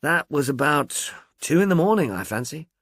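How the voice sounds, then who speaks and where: A man's voice: on the high side, expressive, normal speed, fairly bright tone, dry recording, clean studio sound. A man voicing an offhand, self-assured man of the film world in an audiobook, with a dismissive manner.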